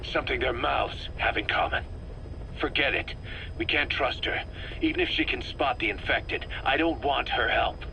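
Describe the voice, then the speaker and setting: An actor playing a hard, suspicious, bitter military commander in a video game, speaking in a terse, accusatory way. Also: male voice, mid-range voice, moderate pace, gravelly, bright, forceful tone. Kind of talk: dramatic video game voice acting. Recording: slightly noisy cassette tape recording.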